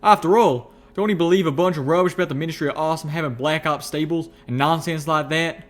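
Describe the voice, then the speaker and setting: Man putting on a folksy drawling pegasus, pitched up. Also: fast, natural voice. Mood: speculative, teasing. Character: folksy, blunt, excitable young male pegasus.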